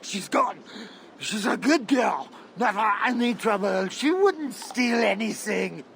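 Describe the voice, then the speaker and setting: Grieving drunk game peasant, high gravelly voice, plaintive, rambling. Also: male voice, expressive, takes it slowly, a bit bright, heavily acted. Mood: distraught. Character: distraught drunken father mourning his taken daughter. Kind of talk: video game character acting. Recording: some background ambience noise.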